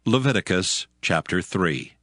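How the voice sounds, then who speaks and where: Man, low voice, scripted announcer, expressive intonation, dry close sound. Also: unhurried, dry recording, faint music behind. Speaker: chapter announcer.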